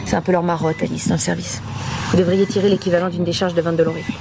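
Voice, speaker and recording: An actress playing a brisk, chatty woman scientist giving a technical briefing in an audio drama. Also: fairly low pitch, level tone, gravelly, noisy background. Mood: matter-of-fact.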